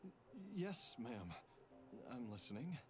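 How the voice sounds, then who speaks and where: Nervous young zombie, hesitant stammer, obedient, game dialogue, clear background noise. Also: female voice, a bass voice, sing-song, slow, heavily acted.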